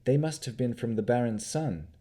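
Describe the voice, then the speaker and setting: A man's voice: mid-range voice, normal speed, mellow, pitched above the performer's own voice, natural voice. A man voicing a thoughtful young female companion.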